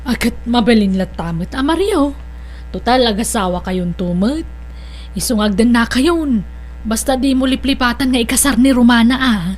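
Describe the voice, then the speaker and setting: A woman's voice: fairly high pitch, normal speed, slight background noise, natural voice. A woman playing a bossy, meddling older sister in an Ilocano audio drama, insistent and probing in her manner.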